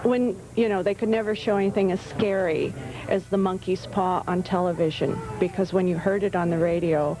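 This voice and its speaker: A woman's voice: on the low side, steady tone, normal speed, dark tone, faint music behind, rough recording. A woman speaking casually in an interview.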